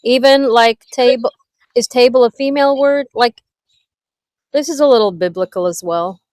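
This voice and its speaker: A woman from Israel with an Israeli accent, speaking English as a second language in a casual group call, pausing and searching for words as she talks. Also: fairly high pitch, a little mellow, dry recording. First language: Hebrew. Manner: halting, searches for words with many fillers. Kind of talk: casual video call chat.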